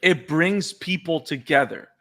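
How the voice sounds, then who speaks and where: A man from Puerto Rico, a native Spanish speaker with a Puerto Rican accent, talking excitedly as a podcast co-host in casual chat. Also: fairly high pitch, unhurried. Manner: excited, repeats words when fired up.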